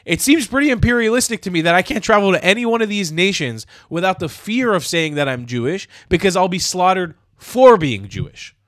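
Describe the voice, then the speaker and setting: A man's voice: high-pitched, expressive, a little fast, hardly any pauses, fairly bright tone, dry recording. Young man, angry sarcastic rant, swears a lot, studio mic, dead-dry room. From United States. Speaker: commentary youtuber. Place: small room indoors. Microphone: studio mic on stand, headphones.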